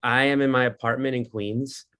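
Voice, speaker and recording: A man's voice: medium pitch, steady tone, normal speed, fairly bright tone. A man hosting a music podcast from his apartment, chatting casually with lots of ums and likes. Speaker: podcast host interviewing a band. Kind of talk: casual interview chat over video call.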